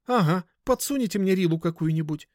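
Male narrator as young woman, eager, stubborn, flustered, lifted pitch, dry audiobook sound. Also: animated, fairly quick, darkish tone, dry recording. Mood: eager, wary. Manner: demanding, flustered.

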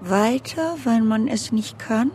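An actress playing a weary, disoriented driver in a poetic radio drama, speaking in fragments and often dropping into whispers. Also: female voice, a little high, takes it slowly, slight background noise. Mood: pensive. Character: weary, disoriented woman driver drifting through memories. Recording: loud music under the voice. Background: music.

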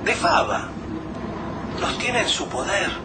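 Lucas, anxious high-pitched Argentine man. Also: lively intonation, takes it slowly. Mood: fearful, distrustful. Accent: Rioplatense Argentine Spanish. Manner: colloquial, urgent. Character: Lucas, anxious distrustful man surviving a deadly snowfall. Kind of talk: radio drama acting. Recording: noisy, distant from the mic.

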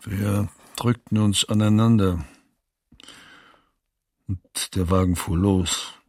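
An actor playing a grave, subdued prisoner giving testimony in a wartime documentary radio play. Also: male voice, lowish voice, fairly even intonation, slow, darkish tone, no room sound, studio quality. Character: grave condemned prisoner recounting a final ride.

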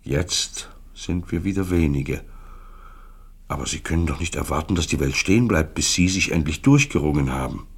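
An actor in a radio play voicing a gentle but admonishing pastor, with a patient, preaching delivery. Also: male voice, very deep voice, moderate pace, slightly rough, full character voice. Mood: frank, challenging. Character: gentle, admonishing male Protestant pastor, as retold. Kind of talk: quoted figure in radio play.

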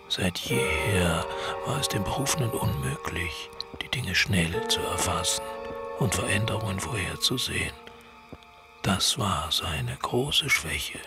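A man's voice: low voice, full character voice. An actor playing a solemn, ominous male narrator in a radio play, portentous and often dropping into whispers.

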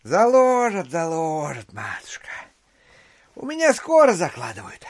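A middle-aged gentleman schemer in an audio drama, ingratiating and smoothly polite. He talks slowly with a very lively melody, recorded close and dry. His coaxing is dotted with small grunts and hums. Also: a little high, no echo, heavily acted. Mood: placating. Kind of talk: audio play acting.

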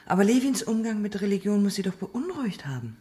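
A woman playing a probing investigator in a radio drama, questioning in a controlled, provoking manner with a creaky voice. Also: medium pitch, big pitch swings, fast talker, warm tone, lightly acted. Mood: probing, pointed. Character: probing, needling female investigator interrogating a witness.

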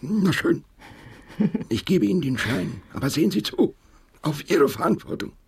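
A man voicing a grim, suspicious little old consul in a radio play, raspy and grumbling in an officious way. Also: fairly high pitch, big pitch swings, moderate pace, pitched above the performer's own voice, heavily acted. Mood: grudgingly giving in. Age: older. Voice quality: raspy, creaky. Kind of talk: acted quote within a radio drama.

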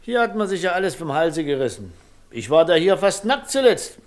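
A man's voice: high-pitched, big pitch swings, a little fast. An actor playing a chatty, self-pleased village servant man in a radio play, with a dialect. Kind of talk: naturalistic German radio play acting in dialect.

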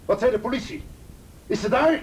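A man's voice: very high voice, a little fast, far from the mic, slight background noise, rough recording. A man playing an angry, desperate, domineering husband in a radio drama, demanding and insistent. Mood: angry, threatening.

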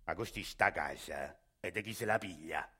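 A man's voice: low-pitched, moderate pace, pushed and bright, slightly rough, pitched below the performer's own voice, full character voice. An actor playing a shady, crude male landlord with a Roman accent in a radio play, talking in a coarse, sly and menacing way. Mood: sly swaggering. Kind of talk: radio theatre acting.

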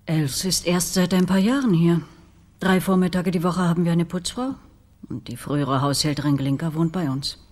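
A woman's voice: lowish voice, steady tone, normal speed, darkish tone, natural voice. A middle-aged woman playing a reserved politician's wife in a radio crime drama, cool, formal and controlled. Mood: composed.

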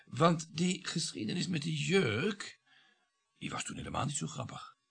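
Radio play: irritable middle-aged surgeon husband, sardonic, needling, raspy, animated. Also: male voice, a little high, normal speed, heavily acted. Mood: sardonic, reminiscing.

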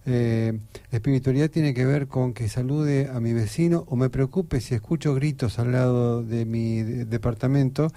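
A man's voice: medium pitch, fairly even intonation, moderate pace, warm tone, no echo. A man from Buenos Aires, a guest on a radio talk show, explaining things earnestly with hesitant fillers. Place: radio studio.

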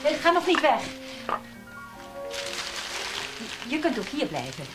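A woman's voice: high voice, huge pitch swings, fast talker, loud music behind the voice. An actress in a radio play, playing a bitter, lonely woman who resents caring for her brother, with a brittle, intense manner. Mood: uneasy, confiding. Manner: brittle and intense, mimics others mockingly.

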